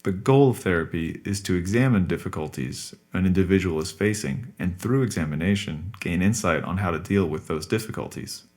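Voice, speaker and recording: A man playing a calm, courteous psychotherapist in an audio drama, talking in a measured, formal, explanatory way. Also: deep voice, natural voice. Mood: explanatory, reassuring. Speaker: actor in a scripted podcast drama. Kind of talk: naturalistic audio drama acting.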